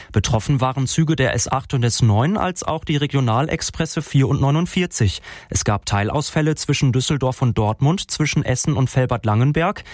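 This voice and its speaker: A man reporting rail disruptions for the radio in a factual, steady way. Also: mid-range voice, no echo, studio quality. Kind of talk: read news report.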